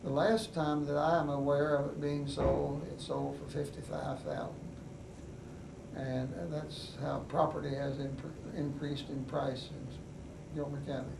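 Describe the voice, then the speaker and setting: An older man with a West Virginian accent, telling his life story in an oral history interview in an unhurried, halting way with many pauses. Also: on the high side, level tone, creaky. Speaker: veteran interviewee. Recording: echoey room, mic at a distance, noisy.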